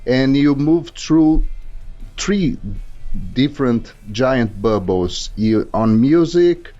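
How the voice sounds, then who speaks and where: A man hosts a podcast interview, slowly building up his long questions. His voice sits at an ordinary middle pitch. The sound is a little muffled, and faint music plays underneath. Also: lively intonation, mellow. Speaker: podcast host. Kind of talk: hosting an interview.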